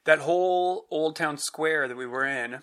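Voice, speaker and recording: A man's voice: fairly high pitch, unhurried, a bit bright. A man casually recapping a family trip in chat with his family, eagerly explaining what they saw. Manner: enthusiastic, explains things to the listener.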